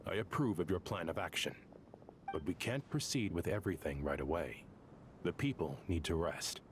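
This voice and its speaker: An actor playing a solemn, courteous, dignified leader in an anime-style video game, speaking formally and measuredly. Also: male voice, sits low, animated, moderate pace, background noise. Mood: authoritative.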